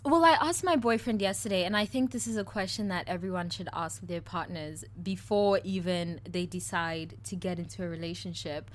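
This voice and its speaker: A woman's voice: fairly low pitch, normal speed, few pauses. A woman in her twenties from South Africa with a South African accent, co-hosting a podcast in candid, crude, laugh-filled chat. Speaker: podcast co-host. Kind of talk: casual chat.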